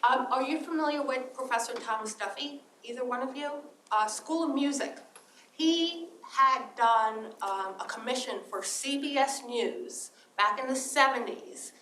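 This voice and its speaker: A woman's voice: high voice, measured pace, a bit bright, slight background noise. A woman from Trinidad asking a question from the audience, hesitant, with many "um"s. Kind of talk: question from the floor. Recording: distant roomy audience pickup.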